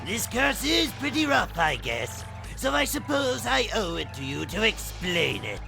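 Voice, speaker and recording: A man voicing a gleeful, taunting evil spirit in a game dub, creaky and theatrical as he gloats over his curse. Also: high-pitched, sing-song, measured pace, music in the background, heavily acted. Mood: grudging explaining. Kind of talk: cartoonish video game dub acting.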